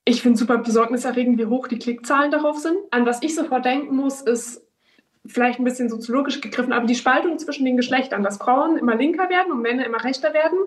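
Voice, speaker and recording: A woman's voice: high voice, fast talker, far from the mic. A woman in her twenties, a local politician, giving an earnest interview statement with frequent restarts and searching phrasing. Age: 20s.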